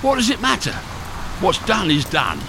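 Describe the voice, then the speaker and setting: A man's voice: high voice, wildly swooping pitch, normal speed, creaky, full character voice. A man playing a hunted, hardened smuggler in a radio thriller, terse, commanding and evasive. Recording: noisy radio drama with rain sounds.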